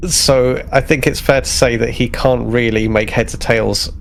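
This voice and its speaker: A man's voice: mid-range voice, steady tone, normal speed, vocal fry. A man chatting casually as a player in a tabletop game, slipping in and out of character. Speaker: tabletop role-playing player. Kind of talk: casual in-game chat and role-play. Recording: faint background noise.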